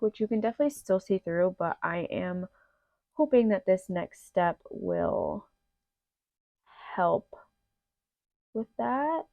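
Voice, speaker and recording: A woman's voice: fairly low pitch, creaky, no room sound. Young woman doing a makeup tutorial, kinda nasal from allergies, says like a lot, slightly muffled dry audio. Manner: chatty, lots of "like" fillers. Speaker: beauty vlogger. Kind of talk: casual makeup tutorial chat.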